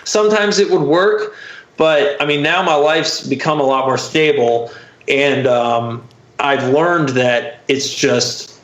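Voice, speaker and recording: A young American man telling personal stories casually as a podcast guest, in a halting, candid, profane way full of fillers. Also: on the high side, fairly bright tone, roomy sound, low-quality recording.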